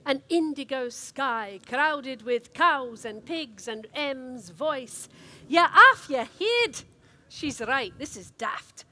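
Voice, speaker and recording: A woman performing a dramatic, expressive reading of a comic story as an actor. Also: high voice, slow pace, full character voice. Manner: theatrical, expressive delivery.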